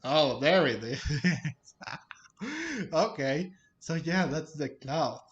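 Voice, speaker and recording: A man hosting a game playthrough with casual, halting commentary that often circles back and repeats. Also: a little high, expressive, highs cut off. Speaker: gaming host. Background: music.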